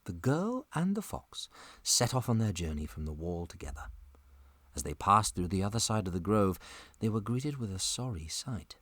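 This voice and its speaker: A man narrating a scripted family adventure story in a dramatic, measured storytelling manner. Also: on the low side, big pitch swings, a bit bright, no echo.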